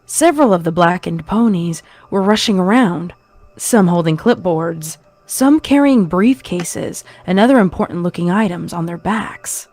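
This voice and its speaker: A woman's voice: lowish voice, expressive, unhurried, a little mellow. A woman giving a dramatic, scripted narration of a horror story.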